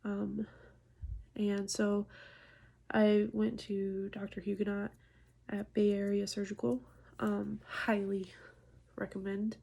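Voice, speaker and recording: Husky, creaky woman in her thirties. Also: medium pitch, monotone, slow, long pauses, mellow. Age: early 30s. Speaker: nurse and vlogger. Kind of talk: casual personal vlog monologue.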